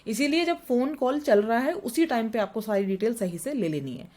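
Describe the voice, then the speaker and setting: A woman's voice: on the high side, expressive, normal speed, a little mellow. A woman teacher giving an exam revision lesson, explaining each point in plain words and repeating English terms.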